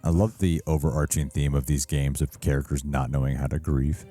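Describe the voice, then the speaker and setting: A man's voice: a bass voice, steady tone, fairly quick, mellow, faint music behind. A man hosting a reaction show, giving casual commentary with wry, joking asides.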